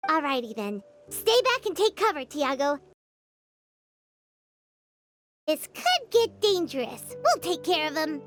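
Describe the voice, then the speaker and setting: Childlike fairy sidekick, squeaky high, animated intonation, breezy, exclamatory, video game. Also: female voice, moderate pace. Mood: determined. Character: small chirpy female fairy-like companion, childlike, eager and confident. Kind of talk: video game character dialogue. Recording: game music behind the voice.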